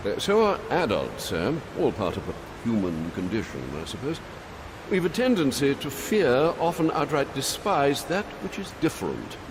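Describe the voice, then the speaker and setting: An actor playing an older, dutiful British butler in a video game, formal and deferential with dry sardonic wit. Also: male voice, mid-range voice, sing-song, moderate pace, background noise. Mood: reflective. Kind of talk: video game cutscene acting.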